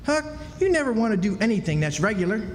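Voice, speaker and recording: A man's voice: sing-song, fast. A man playing a skeptical but loyal country boy in a radio play, folksy and exclamatory. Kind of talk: stage radio drama acting. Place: live stage in a hall. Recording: reverberant hall, rumbling noise.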